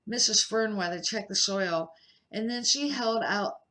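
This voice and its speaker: An older woman reads a picture book aloud as a grandmother would for small children. Her voice is notably bright and sits at an average pitch for a woman. She goes carefully, in short measured phrases, like an amateur reader. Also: poor audio.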